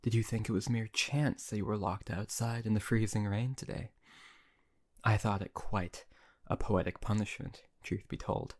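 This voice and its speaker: A man's voice: fairly low pitch, fairly even intonation, normal speed, a little mellow, close to an everyday voice. A man playing a possessive, cruel yet doting male emperor in an audio roleplay, speaking in a silky, condescending way that often drops to a whisper. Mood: gloating.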